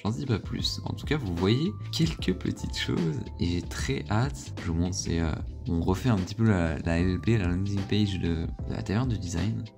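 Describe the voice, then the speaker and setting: A man's voice: deep voice, lively intonation, normal speed, vocal fry, over music. Chatty young man with a cold. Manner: chatty, loose, swears casually, many fillers. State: has a head cold. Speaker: vlogger, entrepreneur. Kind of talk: casual vlog chat.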